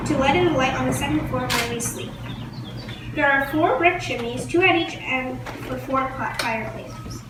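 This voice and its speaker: A woman's voice: high-pitched, natural voice. A woman playing a widowed colonial printer, reading a prepared script and tripping over words now and then. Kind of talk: first-person historical role-play. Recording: roomy, distant mic, noisy background.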